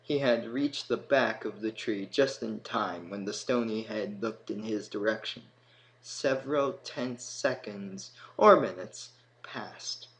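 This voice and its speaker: A man narrating a scripted fantasy story in a dramatic manner, with emphatic swells and hushed drops. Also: fairly low pitch, poor audio. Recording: clear background noise.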